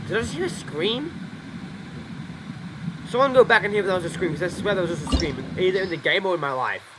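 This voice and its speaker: A young man giving rambling, excitable gameplay commentary at home, swearing freely as he plays. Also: high voice, quick pace, noisy background. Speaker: gaming streamer. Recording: voice over loud game audio. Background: loud game music.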